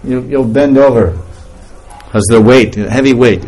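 A man's voice: medium pitch, measured pace, poor audio. Laughing man giving a spiritual talk. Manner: laughing often, animated storytelling. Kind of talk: informal spiritual lecture.

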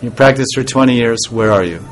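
A man giving an informal devotional talk as a spiritual teacher, soft-spoken and rambling, with pauses and restarts. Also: mid-range voice, faint music behind.

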